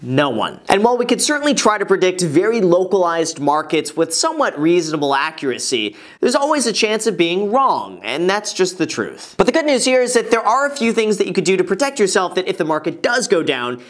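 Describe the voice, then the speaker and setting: A man's voice: sits high, big pitch swings, brisk, hardly any pauses, a bit bright. A man in his twenties explaining things to camera as a video host, emphatically stressing his key words. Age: 20s. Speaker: real estate video host. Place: small furnished indoor room. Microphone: lapel mic.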